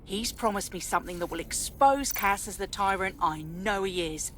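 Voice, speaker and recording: An actress playing a defiant pirate radio host in a video game, speaking boldly and punchily to listeners. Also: female voice, medium pitch, moderate pace, a bit bright, close to an everyday voice. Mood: rousing, determined. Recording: faint background noise.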